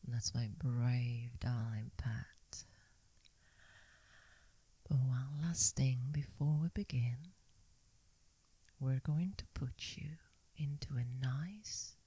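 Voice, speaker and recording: A woman playing a seductive, dominant vampire mistress in an ASMR hypnosis roleplay, whispering slowly and breathily with a teasing, coaxing, commanding manner. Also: very deep voice, warm tone, slightly rough, exaggerated voice. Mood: soothing, hypnotic. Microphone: close microphone in a dry space.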